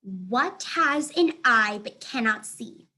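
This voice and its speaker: Woman as an excitable, high-pitched little girl animal. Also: slow pace, exaggerated voice. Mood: excited. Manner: eager, exclaiming. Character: excitable young female animal, eager, playful riddle-teller.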